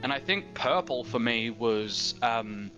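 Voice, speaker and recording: A man's voice: mid-range voice, animated, takes it slowly, sharp tone, lo-fi sound, soft background music. An eighteen-year-old Australian man chatting casually as a guest, rambling with lots of fillers and restarts. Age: teens. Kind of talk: casual chat.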